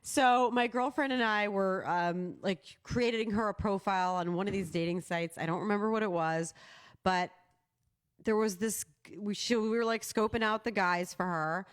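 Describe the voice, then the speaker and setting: A woman with a medium-pitched voice co-hosts a talk show. She chats casually and tells rambling stories. Her manner is playful and informal, with loose language and some swearing. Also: normal speed, clean tone. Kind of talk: casual chat and storytelling.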